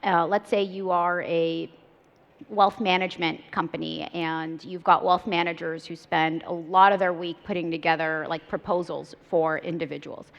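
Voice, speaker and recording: A woman's voice: lowish voice, flat delivery, moderate pace, vocal fry. A woman in her thirties on a conference panel, talking fluently and casually with many "like" and "you know" fillers. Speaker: startup CEO panelist. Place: large conference stage. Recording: reverberant hall, dull lo-fi sound.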